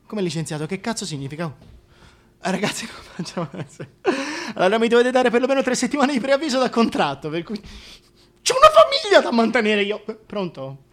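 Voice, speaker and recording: A man's voice: very high voice, fairly bright tone, full character voice. A man playing a flustered, foul-mouthed presenter in a comic radio play, agitated, stammering and colloquial. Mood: dejected, pleading. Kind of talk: theatrical comic monologue.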